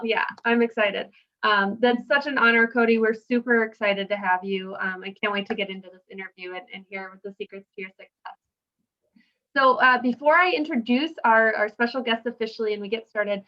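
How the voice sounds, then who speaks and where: Woman, online interview host, higher pitch, enthusiastic delivery, lo-fi video call sound. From Wisconsin. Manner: effusive, enthusiastic. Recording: dull, lo-fi video call audio.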